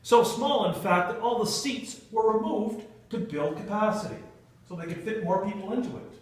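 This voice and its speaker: A man giving a humorous storytelling speech to an audience in a theatrical manner, with dramatic pauses and stretched emphasis. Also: high voice. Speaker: Toastmasters club speaker telling a humorous tale. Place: indoor meeting room with an audience. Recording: echoey room, recorded from far off.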